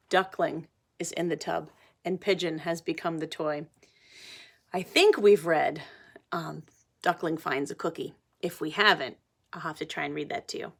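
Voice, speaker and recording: A woman teacher reading a picture book to children, animated, pausing for simple explanations and questions. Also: fairly low pitch. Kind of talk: picture-book read-aloud with asides to children.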